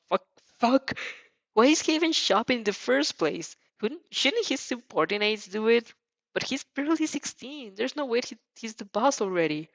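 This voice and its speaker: A woman narrating fan fiction in the second person, expressive and dramatic, now and then stumbling and rereading a line. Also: medium pitch, no room sound. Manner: expressive, stumbles over words and rereads lines. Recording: dull, muffled lo-fi sound.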